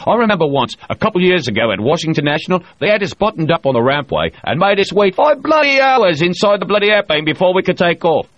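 Brief: man voicing a booming, swearing storyteller with a lively delivery, dull dry audiobook sound. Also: fast talker, few pauses, no echo. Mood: boisterous. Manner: boisterous, swearing freely. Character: loud profane male miner-type storyteller.

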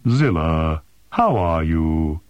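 Man doing a big gentle alien, rumbling, unhurried, politely formal, audiobook voice. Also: big pitch swings, clear, resonant tone, dry recording, lo-fi sound, full character voice. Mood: polite concern. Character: huge, placid, courteous male alien. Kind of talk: audiobook character voice.

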